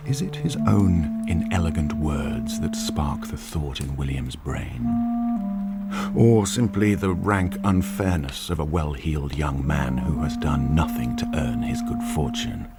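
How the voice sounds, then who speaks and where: An actor playing a brooding, sardonic storyteller, narrating an audio drama in a deliberate, insinuating manner. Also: male voice, very deep voice, animated, heavily acted. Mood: ominous, knowing. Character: brooding, ominous male storyteller with sardonic knowingness. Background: loud music.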